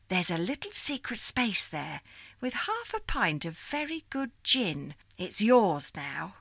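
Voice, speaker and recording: A woman's voice: medium pitch, fairly bright tone, no echo, voice pushed up, heavily acted. A woman voicing a chatty older female ghost who once ran the switchboard, coaxing and familiar. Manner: coaxing, familiar, calls her dear.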